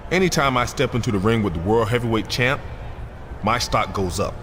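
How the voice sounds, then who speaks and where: An actor playing a confident, ambitious wrestler in a video game cutscene, casual, assertive and cocky. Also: male voice, lowish voice, fairly quick, a bit bright, lightly acted. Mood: confident, persuasive. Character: confident, ambitious male wrestler proposing an alliance. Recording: clearly noisy background ambience.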